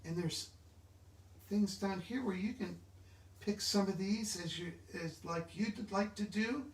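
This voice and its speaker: Male book reader, slightly high voice, reading aloud, roomy and distant recording. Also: measured pace. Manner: stumbles over long words. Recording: echoey room, distant microphone.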